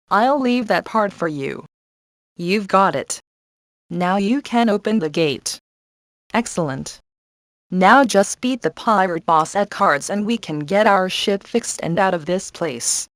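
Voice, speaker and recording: An actress playing a confident, sassy young adventurer in a cartoon game, a wry and encouraging mission guide. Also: female voice, mid-range voice, animated, a little slow, dry recording, studio quality, close to an everyday voice. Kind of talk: video game character acting.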